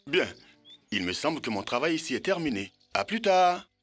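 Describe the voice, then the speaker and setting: Kindly instructive old mentor, kids' cartoon; very animated delivery, encouraging. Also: male voice, a little high, moderate pace, soft background music. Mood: congratulating. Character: encouraging elder mentor teaching children sums. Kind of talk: French-dubbed educational children's cartoon.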